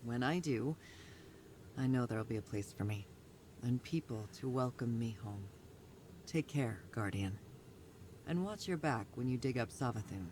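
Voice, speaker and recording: A woman's voice: rumbling low, lively intonation, moderate pace, pauses often, darkish tone, a little hiss or hum. A woman voicing a tough, blunt female soldier in a video game cutscene, plainspoken and candid. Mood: earnest.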